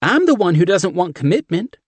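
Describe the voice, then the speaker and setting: A man's voice: sits high, big pitch swings, fairly quick, slightly rough, no room sound, voice pushed up, full character voice. A man voicing a brash, flirtatious woman. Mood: brash flirty. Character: brash flirty Southern woman, loud and bold.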